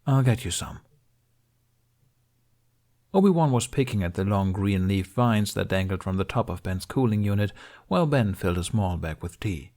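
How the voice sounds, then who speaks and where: A man's voice: lowish voice, animated, a little fast, few pauses, darkish tone. A man reading scripted fiction as an audiobook narrator.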